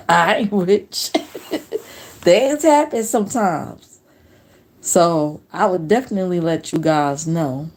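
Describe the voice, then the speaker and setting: A woman in her late forties chatting casually on a live stream, telling stories in a relaxed, slangy way. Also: on the low side, slow pace, long pauses. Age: 40s. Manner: relaxed storytelling with slang and laughs.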